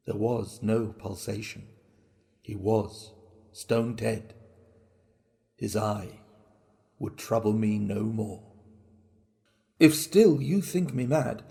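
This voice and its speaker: Theatrical mad murderer, acted by a man, creaky voice. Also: medium pitch, dark tone. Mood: cold, smug. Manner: theatrical, deliberate, intense, broken into short phrases. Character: mad, guilt-racked murderer boasting of his cunning. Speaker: reader of a classic horror story. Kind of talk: dramatic first-person storytelling.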